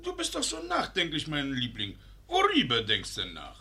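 An actor playing a jovial, fussy, middle-aged landowner father in a comic radio play, excitable and indulgent. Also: on the high side, moderate pace, full character voice. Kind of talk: German radio play comedy acting.

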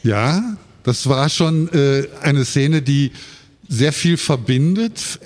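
A man moderating a live panel discussion in a measured, articulate way. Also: fairly high pitch, lively intonation, darkish tone. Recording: reverberant hall at book fair.

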